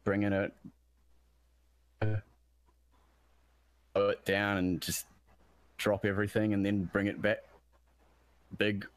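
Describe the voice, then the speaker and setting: A deep-voiced man, a musician guest from New Zealand, chatting casually about production and often restarting his sentences. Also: level tone, slow pace, long pauses, no room sound, poor audio. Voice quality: deep bass voice. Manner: rambling, self-interrupting, searching for words.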